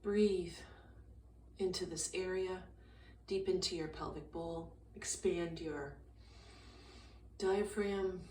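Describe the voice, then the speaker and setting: A woman with a slightly low voice guides a meditation calmly and gently. She speaks very slowly, in short phrases with long pauses. The sound is echoey, as if she is some way from the mic. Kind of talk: guided meditation, slow soothing instruction. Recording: roomy echo, distant mic, faint noise.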